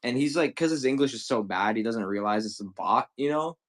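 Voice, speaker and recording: A man's voice: mid-range voice, animated, fairly quick. Twenties male streamer, mid-range voice, loose slangy profane chatter. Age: 20s. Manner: rambling, profane, slangy, lots of "bro". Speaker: livestream seller. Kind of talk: casual livestream chat.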